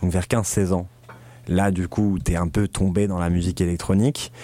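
A man's voice: sits low, flat delivery, a little fast, warm tone, no echo. A man hosting a casual conversational interview, asking questions in an informal, bantering way.

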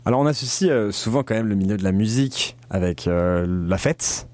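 A man's voice: lowish voice, expressive, brisk, darkish tone, no echo. A man hosting a casual conversational interview, asking questions in an informal, bantering way.

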